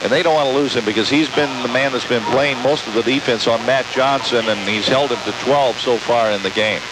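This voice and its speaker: A man's voice: mid-range voice, fairly quick. A man doing live radio play-by-play of a basketball game, calling the action in clipped present-tense phrases. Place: courtside in an indoor sports dome. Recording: very noisy old radio recording with hiss.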